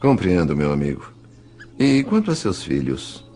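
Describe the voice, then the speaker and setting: A Brazilian man playing a compassionate spirit consoling a friend in an audiobook drama, with gentle, solemn and formal phrasing. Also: deep voice, huge pitch swings, measured pace, heavily acted. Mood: tender concern. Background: music.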